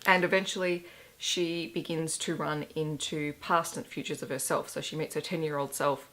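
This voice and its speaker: Woman, thirties, low-pitched, hoarse, chatty book vlogger, candid and self-deprecating. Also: steady tone, moderate pace, fairly bright tone. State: hoarse, losing her voice. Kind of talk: casual book wrap-up vlog.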